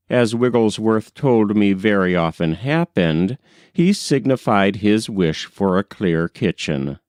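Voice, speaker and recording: Slow, lively-pitched man reading a novel. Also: no echo, studio quality. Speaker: audiobook reader. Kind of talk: scripted literary narration of a novel.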